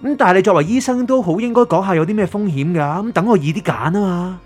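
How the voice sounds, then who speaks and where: A man's voice: high-pitched, fast talker. An actor with a Hong Kong Cantonese accent playing an anxious, curious male writer who narrates his story introspectively in a radio drama. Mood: wary questioning. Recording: music under the voice.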